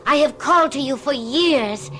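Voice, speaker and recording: A woman's voice: high voice, moderate pace, pushed and bright. A seductive, possessive witch princess. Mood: fierce. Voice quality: hypnotic, intense. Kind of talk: 1970s radio drama acting. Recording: old radio drama with music.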